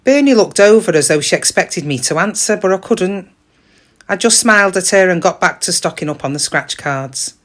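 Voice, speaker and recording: An actress playing a middle-aged British shop worker in a confiding, wry, conversational monologue, kind but quietly weary. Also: female voice, on the low side, lively intonation, moderate pace. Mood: troubled, reflective. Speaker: actor in a dramatic monologue.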